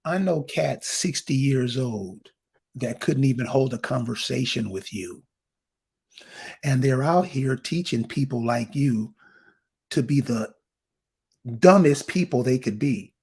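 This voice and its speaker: A man's voice: medium pitch, expressive, takes it slowly, vocal fry, long pauses, a little mellow, slightly rough. A man hosting an online discussion, talking bluntly and emphatically, with swearing. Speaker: host.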